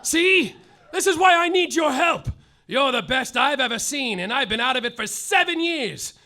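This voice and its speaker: A man narrating and acting a hardboiled detective in a live stage comedy, deadpan noir delivery with sudden yelled outbursts. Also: very high voice, moderate pace. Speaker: lead performer in a live comedy play, as the detective.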